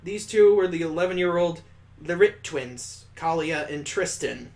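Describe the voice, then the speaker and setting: A man's voice: a little high, lively intonation, measured pace, darkish tone. A man hosting a gaming video, narrating a visual novel with improvised asides as he reads aloud.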